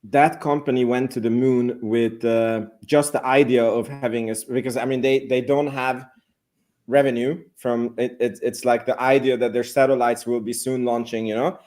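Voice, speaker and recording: A man giving his views as a guest analyst in a casual discussion, reasoning aloud haltingly and repeating words. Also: medium pitch, flat delivery, a little mellow.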